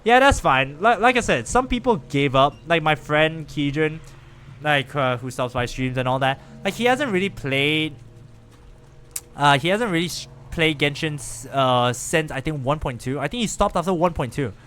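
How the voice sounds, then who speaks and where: A man chatting casually with viewers and reacting out loud while he plays a game on a live stream. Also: fairly high pitch, moderate pace, soft background music. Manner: chatty, animated, lively reactions while playing.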